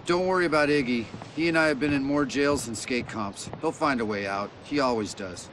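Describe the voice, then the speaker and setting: A man's voice: medium pitch, a little fast, a bit bright, slight background noise, lightly acted. A man playing a laid-back, nostalgic veteran skateboarder in a video game, casual and slangy. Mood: reassuring. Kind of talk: video game cutscene acting.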